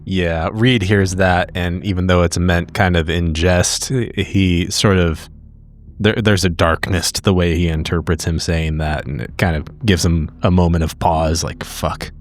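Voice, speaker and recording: A man's voice: sits low, fairly even intonation, measured pace. A man playing a tabletop horror game, casually improvising narration of what his character does.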